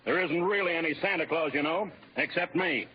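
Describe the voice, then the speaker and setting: An American actor playing a smug, falsely jovial crooked political boss with an edge of menace, in old radio drama style. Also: male voice, fairly high pitch, brisk, lightly acted. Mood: condescending. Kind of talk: 1940s radio drama acting. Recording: dull, noisy old radio recording.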